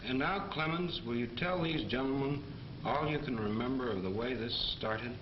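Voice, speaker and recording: An actor playing a composed, authoritative army doctor, lecturing in a measured, formal 1940s training film manner. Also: male voice, mid-range voice, lightly acted. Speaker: military medical instructor. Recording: muffled, echoey, noisy 1940s film soundtrack.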